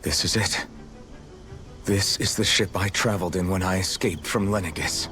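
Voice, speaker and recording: Low-voiced resolute young hero. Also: male voice, normal speed, bright, forceful tone, soft background music. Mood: thoughtful. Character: young male warrior, resolute, determined party leader. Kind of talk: dramatic video game voice acting.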